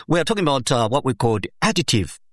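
A man in an academic interview, speaking English as a second language and calmly explaining his research step by step, pausing often with "uh" and making small grammar slips. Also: medium pitch, no echo, studio quality. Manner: measured, explains step by step with frequent "uh" pauses. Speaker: education researcher, interview guest.

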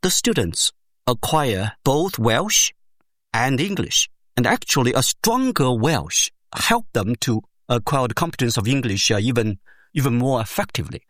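A man speaking English as a second language explains his research in a measured, step-by-step way, pausing often to find his words. His pitch rises and falls with lively emphasis. The sound is dry and close, with no room echo. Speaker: education researcher, interview guest. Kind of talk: explanatory academic interview answer.

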